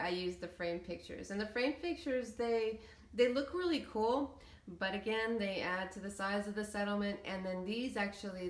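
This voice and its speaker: A woman giving a casual, hesitant, meandering walkthrough commentary of a game build. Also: on the low side. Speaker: video creator showing game settlement builds. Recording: roomy, echoey room sound.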